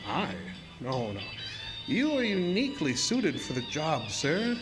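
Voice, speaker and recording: An actor playing a sinister, courtly spook-house keeper in a horror audio drama, mock-polite and theatrical. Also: male voice, sits high, huge pitch swings, unhurried, over music, heavily acted. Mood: suave, probing. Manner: suave, mock-polite, theatrical, formal wording.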